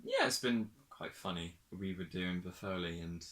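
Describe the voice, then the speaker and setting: A man's voice: sits low, normal speed, fairly bright tone. A young man, an amateur actor, chatting casually and jokingly with friends.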